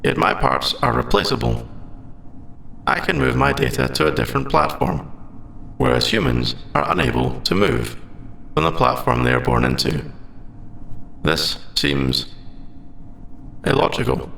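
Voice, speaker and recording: A man voicing a formal, logical android AI in an ASMR audio roleplay, with precise, stilted phrasing and gravelly endings to his phrases. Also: medium pitch, lively intonation, unhurried, long pauses, a bit bright, slight background noise, heavily acted. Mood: detached, analytical. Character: formal, logical male android AI, secretly sentient and earnest.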